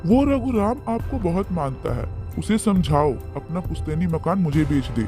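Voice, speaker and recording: A man's voice: on the high side, animated, moderate pace, dark tone, natural voice. Guy doing greedy pushy village schemer for kids cartoon, wheedly, music under. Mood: scheming. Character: Ramesh, greedy bullying man, pleading when cornered. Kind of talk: animated children's moral story dialogue. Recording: music behind the voice.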